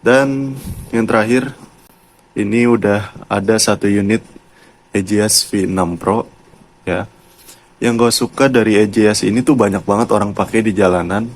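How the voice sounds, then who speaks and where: A man's voice: on the low side, steady tone, moderate pace, long pauses. A man with a colloquial Jakarta Indonesian accent, giving a casual, chatty product review and addressing viewers like mates. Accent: Jakarta-style colloquial Indonesian. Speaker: YouTube motovlogger and product reviewer.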